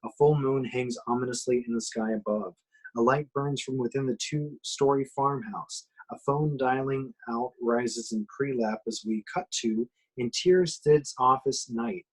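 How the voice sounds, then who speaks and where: A man's voice: mid-range voice, measured pace, husky, low-quality recording. A man reading action description aloud at an amateur table reading, in a dramatic storytelling way with occasional stumbles. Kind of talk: scripted narration, amateur table read.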